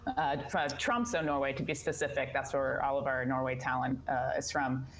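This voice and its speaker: A woman's voice: very deep voice, moderate pace, slight background noise, rough recording. A woman answering interview questions casually, enthusiastic but hesitant, as a voice director and actor.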